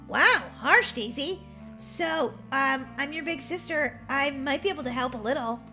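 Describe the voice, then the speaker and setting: A woman voicing a chatty, excitable teenage big sister in a children's roleplay story. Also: high-pitched, measured pace, loud music behind the voice, poor audio. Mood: concerned.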